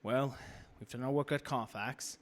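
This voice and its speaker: A man's voice: mid-range voice, steady tone, normal speed, close to an everyday voice. A man as earnest husband, echoing hall. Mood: grim. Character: weary young husband reporting grim findings. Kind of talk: radio drama journal narration. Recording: reverberant live theater stage.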